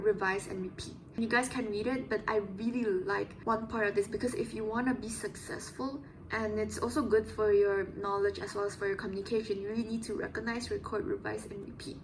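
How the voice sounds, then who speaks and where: A woman's voice: medium pitch, fairly even intonation, fairly quick, few pauses, noisy background. A young woman vlogging in a casual, chatty way, explaining things with lots of "like" fillers. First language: English. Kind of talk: casual vlog diary.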